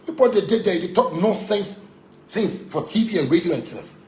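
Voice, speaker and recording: A man's voice: sits high, lively intonation, fairly quick, darkish tone, soft background music. A man with a Ghanaian accent delivering an angry, confrontational rant in Pidgin and broken English, repeating himself and throwing insults. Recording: echoey room with background noise.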